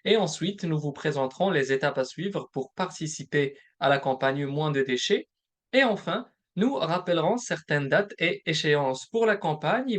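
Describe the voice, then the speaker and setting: A man presenting a recorded webinar as a program manager, explaining points one by one in a measured way. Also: on the high side. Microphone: recorded presentation voice-over.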